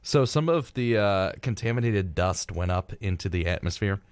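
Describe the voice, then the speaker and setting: Radio co-host: young American male, deep-ish pitch, studio-dry, flippant and darkly joking between read-out notes. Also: expressive, normal speed, no echo. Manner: flippant dark humour. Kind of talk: casual joking chat mixed with reading notes.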